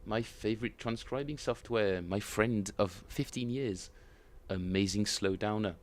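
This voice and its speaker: A man with a French accent, chatting casually and self-mockingly with viewers as he streams his bass practice, often chuckling. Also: unhurried. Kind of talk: casual livestream chat.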